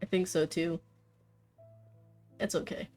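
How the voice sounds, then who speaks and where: A woman's voice: on the low side, steady tone, slow, over music. A woman streaming a game, commenting casually in a teasing, slangy way. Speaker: gaming streamer. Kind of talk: casual commentary over gameplay.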